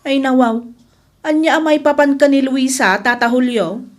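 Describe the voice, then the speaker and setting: A woman's voice: high-pitched, moderate pace, lightly acted. A woman with an Ilocano Filipino accent playing a gossiping, resentful young tell-tale in a radio drama. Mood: gossipy, accusing.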